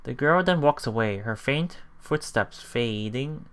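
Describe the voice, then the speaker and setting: A man's voice: mid-range voice, expressive, unhurried, smooth. A man hosting a let's play, reading a visual novel aloud and adding casual reactions, sometimes repeating himself. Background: game music.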